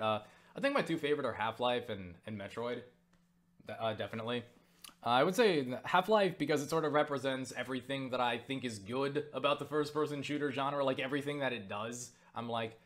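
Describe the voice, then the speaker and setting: A young man answering viewer questions in a casual vlog, rambling with lots of fillers and restarts, swearing offhand and getting animated when excited. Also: medium pitch.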